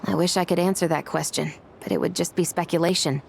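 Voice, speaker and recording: A woman playing a stern, principled female officer in a video game, speaking in a serious, measured, authoritative way. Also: lowish voice, steady tone, gravelly, slight background noise.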